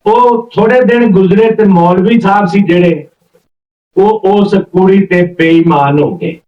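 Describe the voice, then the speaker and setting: A man's voice: high-pitched, a little slow. A man telling a folk story informally and unhurriedly, repeating phrases as he links one event to the next. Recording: roomy, distant, slightly dull mic.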